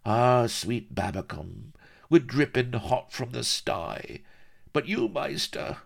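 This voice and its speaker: A man's voice: medium pitch, very animated, slow pace, creaky, pitched above the performer's own voice, full character voice. A man voicing a ragged, wizened old male castaway who blusters in a quavering yokel way. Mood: maudlin. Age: older.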